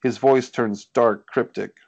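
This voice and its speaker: A man's voice: medium pitch, fairly even intonation, slow, creaky, darkish tone, husky, dull audio. A man reading scripted stage directions as narrator of a screenplay table read. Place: indoors on a group video call.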